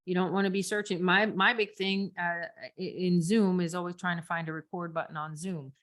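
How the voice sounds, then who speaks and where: Chatty, lower-pitched woman podcast host. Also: fairly even intonation, fairly quick, fairly bright tone. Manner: giggly, laughs often between phrases. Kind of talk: casual podcast teaching chat.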